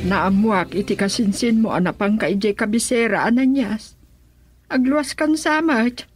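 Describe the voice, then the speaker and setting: A woman's voice: on the high side, moderate pace, soft background music, natural voice. An actress playing a concerned older female relative in a radio drama, inquiring in a worried way.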